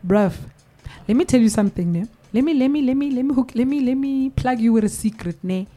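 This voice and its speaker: A South African woman hosting a radio show in a studio, reading listener comments with animated, laughing banter. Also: fairly high pitch. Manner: animated, laughing, drawn-out emphatic repeats.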